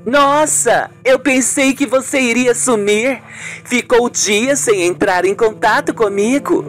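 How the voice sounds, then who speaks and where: Amateur putting on a high-voiced, chatty woman painter. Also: takes it slowly, soft background music, close to an everyday voice. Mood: friendly, animated. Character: chatty, friendly woman painter talking with a girlfriend. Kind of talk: amateur radio soap opera acting.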